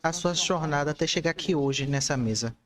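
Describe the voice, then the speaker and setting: A man's voice: fairly high pitch, a little fast. A man hosting a podcast, introducing a guest in a friendly, informal way. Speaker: podcast host.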